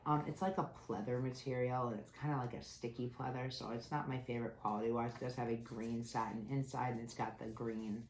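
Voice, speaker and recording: A woman's voice: very deep voice, fairly even intonation, a little fast, hardly any pauses, darkish tone. A woman reviewing beauty subscription boxes in a casual, chatty way, sounding nasal from a cold. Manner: chatty, candid, grumbles about packaging. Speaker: beauty vlogger. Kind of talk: casual unboxing chat. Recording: echoey room with faint noise.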